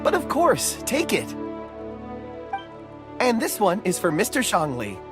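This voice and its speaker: An actor playing an earnest, gentle young man in a video game, polite and contemplative in his way of talking. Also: high voice, huge pitch swings, a little slow, exaggerated voice. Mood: eager, polite. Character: earnest, serene young man devoted to rocks and ores. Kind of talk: video game character dialogue. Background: music.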